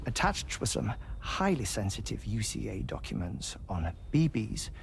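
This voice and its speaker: An actor playing an earnest, fussy middle-aged man in a video game cutscene, speaking with deliberate, formal, theatrical phrasing in a gravelly voice. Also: lowish voice, sing-song, slight background noise. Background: music.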